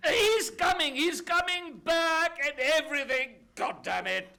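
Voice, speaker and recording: A man's voice: extremely high pitch, lively intonation, unhurried, gravelly, sharp tone, full character voice. An actor playing a hot-tempered, blustering father in a stage farce, ranting in an exasperated, melodramatic way. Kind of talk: stage farce read as audio drama.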